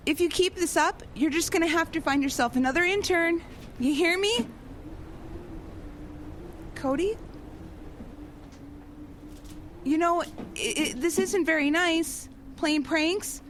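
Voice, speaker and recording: A woman's voice: very high voice, unhurried, slight background noise. A woman playing an indignant, sarcastic young intern in an audio drama. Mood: annoyed, nervous. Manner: sarcastic, huffy. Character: indignant young female intern, easily offended.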